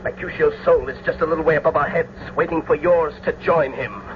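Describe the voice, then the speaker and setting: An actor playing an earnest, passionate young lover in a radio Shakespeare drama, with heartfelt declamatory delivery. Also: male voice, a little high, fairly quick, crowd noise, lightly acted. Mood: furious. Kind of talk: old-time radio Shakespeare acting. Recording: noisy, dull old radio recording.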